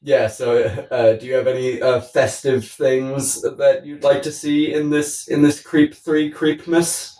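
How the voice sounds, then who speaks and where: Man, podcast co-host, medium pitch, joking casual chat, sweary banter, roomy echo, distant from the mic. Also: little pitch movement, measured pace, few pauses, dark tone.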